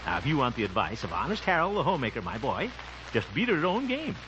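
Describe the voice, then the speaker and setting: Folksy chuckly self-assured radio comedy man, super animated pitch, hissy old recording. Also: quick pace. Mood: breezy, self-assured. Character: jovial, self-satisfied small-town bachelor radio entertainer. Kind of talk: old-time radio situation comedy acting. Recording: muffled, noisy old-time radio recording.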